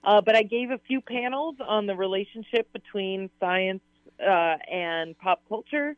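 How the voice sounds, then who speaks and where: Interview guest, woman, a bit hoarse, eager and chatty, comes through a dry, phone-quality line. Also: mid-range voice, a little slow, no room sound. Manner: enthusiastic, laughs often, talks in long rambling sentences. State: slightly hoarse. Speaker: science advisor and author, interview guest. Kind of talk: casual radio interview answers.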